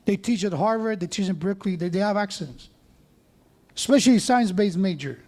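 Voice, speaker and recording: A man's voice: high voice, a little fast, a little mellow. A man preaching a sermon in a mosque, conversational, with joking asides and frequent restarts.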